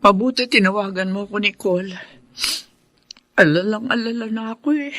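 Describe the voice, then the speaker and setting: A woman's voice: medium pitch, normal speed, a bit bright, no room sound, natural voice. An actress playing a worried, loving middle-aged mother from a poor family in a radio drama. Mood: apologetic, tender.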